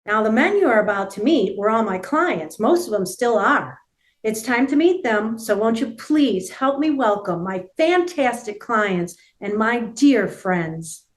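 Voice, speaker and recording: A woman's voice: on the high side, animated, unhurried. A woman personal trainer hosting and giving a scripted, welcoming introduction.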